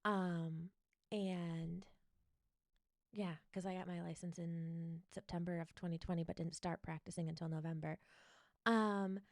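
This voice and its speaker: A woman's voice: lowish voice, fairly even intonation, a little slow, no echo, studio quality. A woman from upstate New York with a slight upstate New York accent, co-hosting a podcast in a laid-back way, with lots of ums. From northern New York. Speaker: podcast co-host, real estate agent. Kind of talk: casual chat. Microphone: podcast mic at her desk.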